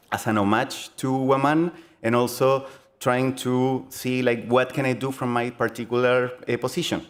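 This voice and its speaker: Earnest Chilean man giving a talk. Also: medium pitch. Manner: halting, many fillers and restarts, earnest. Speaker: game designer giving a conference talk. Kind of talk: conference presentation.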